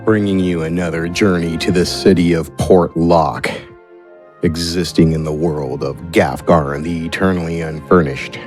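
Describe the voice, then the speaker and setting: A man's voice: deep voice, fairly even intonation, moderate pace. A man working as game master, narrating a tabletop fantasy game in improvised, conversational descriptions. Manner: conversational, feeling his way through descriptions. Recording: music under the voice.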